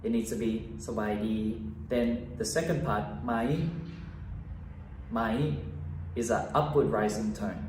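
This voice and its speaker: A relaxed young man gives a language lesson with an easygoing, unhurried manner. He sounds a little far from the mic, in a room with noticeable echo and a faint hum of ambience behind him. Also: lowish voice. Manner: easygoing, laughs at own slips, switches languages. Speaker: language teacher. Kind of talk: bilingual video lesson. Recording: echoey room, mic at a distance.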